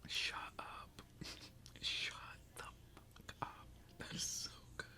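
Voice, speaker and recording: A woman whispering casually into a close microphone for an ASMR video, chatty and giggly. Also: takes it slowly. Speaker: ASMR creator.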